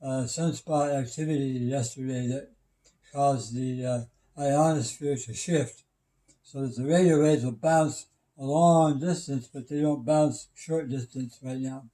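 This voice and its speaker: A man in his seventies talks with a mellow, relaxed voice at a middling pitch. He explains things casually and plainly, often stammering and halting as he searches for the next phrase. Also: low-quality recording. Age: 70s. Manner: halting, stammering, matter-of-fact. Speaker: retired systems engineer and radio hobbyist.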